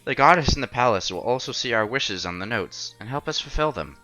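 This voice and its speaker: A man's voice: medium pitch, lively intonation, fairly quick, bright, forceful tone, soft background music, poor audio. A man narrating a visual novel aloud as a streamer, expressively and acting out the dialogue.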